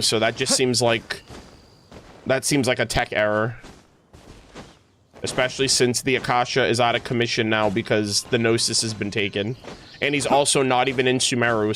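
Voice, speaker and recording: A man livestreaming, chatting casually with his viewers in a halting, thinking-aloud way. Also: medium pitch, fairly bright tone, a little hiss or hum. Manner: casual swearing. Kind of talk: casual chat with stream viewers. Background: music.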